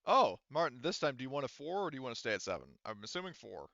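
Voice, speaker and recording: A man's voice: mid-range voice, sing-song, fast, vocal fry, bright, forceful tone, no room sound, lo-fi sound. A man working as game master, running a tabletop roleplaying game in a casual, freely swearing manner.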